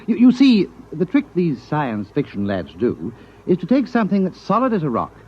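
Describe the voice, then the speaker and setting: An actor playing a wry, quick-witted captain in the British army, teasing and persuasive, in a 1950s radio drama. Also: male voice, fairly high pitch, huge pitch swings, husky, heavily acted. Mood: playful, scheming. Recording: dull vintage radio broadcast.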